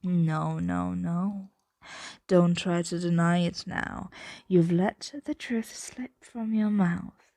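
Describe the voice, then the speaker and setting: A woman voicing a possessive, doting female dragon in an ASMR roleplay, soothing and teasing in a breathy, intimate whisper. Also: fairly low pitch, steady tone, slow, dark tone, dry recording. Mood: teasing, smug.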